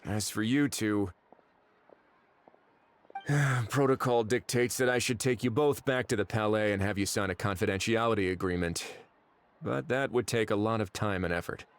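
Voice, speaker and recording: A man voicing a shrewd, easygoing, world-weary official in a video game, with dry, measured authority. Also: fairly low pitch, slight background noise, natural voice. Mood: weary, relaxed. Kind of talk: animated video game voice acting.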